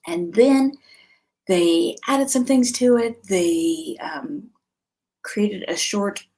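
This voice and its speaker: A middle-aged woman speaks in a mid-range voice with a slightly rough, husky grain. Her delivery is casual and conversational, like a vlogger sharing recommendations. She often thinks out loud as she goes. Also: mid-range voice, very slow. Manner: chatty, conversational, thinking aloud. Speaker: homeschool vlog host. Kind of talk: casual informal product recommendations to camera.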